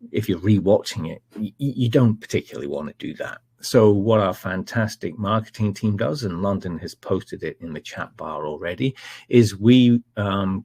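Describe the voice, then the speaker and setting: A middle-aged man with a Scottish accent hosts a live technical webinar, his voice low and a little husky. He talks in a relaxed, chatty way, filling pauses with ums and uhs. The sound is dry and close, with no room echo. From Scotland. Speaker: webinar presenter, technical manager.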